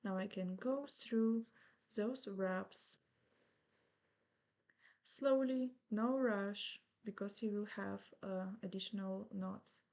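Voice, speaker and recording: A woman's voice: medium pitch, vocal fry. A woman from Ukraine in her thirties, speaking English with a Ukrainian accent as she patiently talks through an embroidery tutorial step by step. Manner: slow, patient, gently reassuring instruction. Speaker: embroidery teacher.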